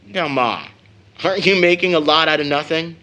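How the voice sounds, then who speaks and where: An actor playing an easygoing, protective young son in an audio drama. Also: male voice, fairly high pitch, normal speed, bright, forceful tone. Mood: reassuring. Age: young adult. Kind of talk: stage audio drama acting.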